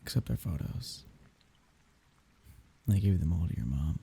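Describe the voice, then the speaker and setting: Male actor as bereaved lover, low-pitched, very breathy and husky, tender but wry, intimate ASMR roleplay delivery. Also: animated, mellow, heavily acted. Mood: wry, subdued. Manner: wry, tender, halting, half-murmured. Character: grieving man bidding farewell to dead lover.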